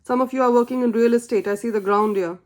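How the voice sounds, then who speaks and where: A woman's voice: a little high, quick pace, darkish tone. A woman whose first language is not English, giving an earnest, encouraging tarot reading and repeating key phrases for emphasis. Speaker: tarot reader.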